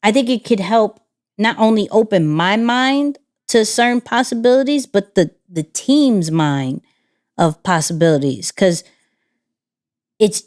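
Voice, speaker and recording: Animated woman co-hosting a podcast. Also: medium pitch, unhurried. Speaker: podcast co-host. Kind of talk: casual podcast chat.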